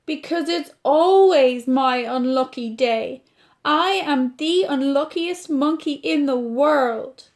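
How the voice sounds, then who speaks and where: A woman's voice: high-pitched, slow pace. A woman with an Irish accent voicing an anxious, gloomy male monkey who complains in a whiny, fretful way.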